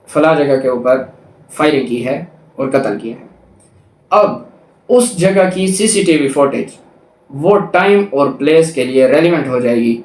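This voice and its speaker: A man's voice: on the high side, a little mellow. A man with a Pakistani accent giving an explanatory law lecture, restating points methodically and mixing in English legal terms. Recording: echoey, reverberant room sound.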